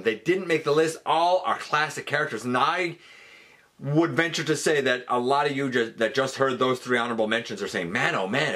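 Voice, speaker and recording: A man's voice: mid-range voice, a bit bright. A man hosting an online countdown, mixing showy announcer-like emphasis with chatty asides as he talks to viewers. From Canada. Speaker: YouTube channel host. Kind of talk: countdown video commentary to viewers.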